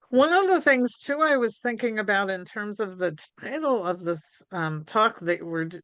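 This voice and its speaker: Halting woman hosting a video call. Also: lowish voice, fairly bright tone, dry recording. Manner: halting, with many ums and restarts. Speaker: host. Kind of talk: live video-call conversation.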